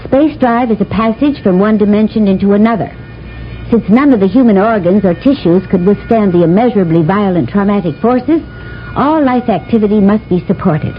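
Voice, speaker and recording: An actress playing a brisk space service lieutenant reciting regulations in a radio drama. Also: female voice, medium pitch, animated, natural voice. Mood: brisk, procedural. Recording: muffled vintage radio recording, music behind.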